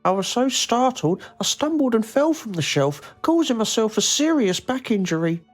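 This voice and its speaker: A woman's voice: a little high, very animated, moderate pace, soft background music. Woman putting on an oddball manchild for a game, earnest oversharer, very up and down voice. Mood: earnest, wistful. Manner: earnest, quaintly formal, oversharing. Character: odd, lonely, long-confined middle-aged son.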